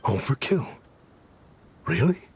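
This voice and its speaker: An actor playing an exiled war researcher in a video game, theatrical and earnest, a scholar obsessed with weapons. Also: male voice, medium pitch, very animated, slow pace, a little hiss or hum. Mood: eager, earnest. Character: exiled war researcher, eager weapons fanatic, confessing his crimes. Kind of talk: dramatic story narration and dialogue. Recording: music under the voice.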